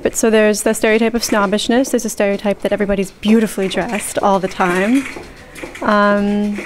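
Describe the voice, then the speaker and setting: A woman explaining stereotypes clearly and evenly in an interview. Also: medium pitch, little pitch movement, moderate pace, a little hiss or hum.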